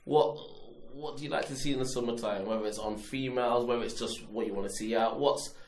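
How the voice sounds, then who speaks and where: A man with a slightly raspy voice, hosting a casual chat with friends and laughing as he teases them. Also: medium pitch, brisk, a little mellow. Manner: laughing, teasing, joking. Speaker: podcast host. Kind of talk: casual group banter. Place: room with several friends. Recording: echoey room with group chatter.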